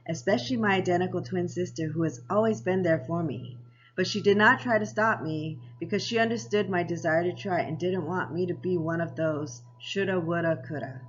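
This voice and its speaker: A woman of around 60, raised in Japan and Hawaii, narrating her own life story for a vlog in a measured, read-aloud way. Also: low-pitched, highs cut off. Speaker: vlogger. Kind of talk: scripted personal narration.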